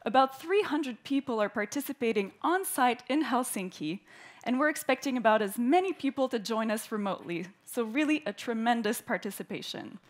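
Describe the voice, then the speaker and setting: Woman opening a conference, high voice. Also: animated, brisk. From Canada. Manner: warm, clear and orderly, reading prepared remarks. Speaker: event host, opening speaker. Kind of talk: formal welcoming speech. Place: conference hall.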